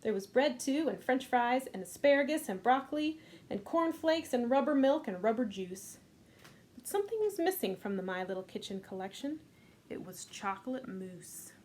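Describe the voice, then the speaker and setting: A woman librarian leading a children's storytime, reading aloud gently and unhurriedly with a few fillers. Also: a little high, animated, normal speed, a little hiss or hum.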